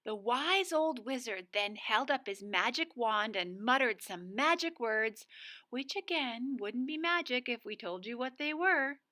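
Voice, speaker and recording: A woman's voice: on the high side, lively intonation, a little slow, bright, forceful tone. A woman reading a storybook aloud expressively for young children. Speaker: storybook reader, school staff.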